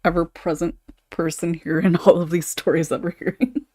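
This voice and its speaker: A woman's voice: fairly low pitch, steady tone, moderate pace, dark tone, dry recording. A woman hosting a podcast in a casual, conversational way. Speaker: podcast host.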